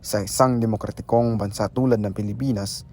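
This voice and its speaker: A Filipino man narrates a school explainer from a script. His voice is on the low side and distinctly mellow, with faint noise in the background. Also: steady tone, a little fast.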